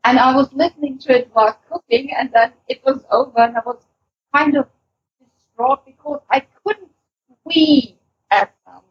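A woman chatting casually as a podcast co-host, enthusiastic and speaking in halting phrases. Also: high-pitched, slightly rough. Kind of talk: casual podcast chat, recommending audio stories. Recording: muffled, lo-fi voice recording.

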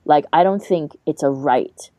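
Low-voiced, mellow woman podcaster. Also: a little slow, dry recording, dull audio. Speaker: podcast co-host. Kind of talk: casual podcast discussion.

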